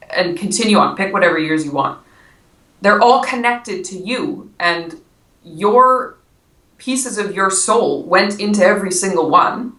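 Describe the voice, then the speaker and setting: Low-voiced woman, bright, in an echoey room. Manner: friendly, explains with simple examples, laughs easily. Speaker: guest, Akashic records consultant. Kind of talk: casual interview chat. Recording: echoey room, far from mic.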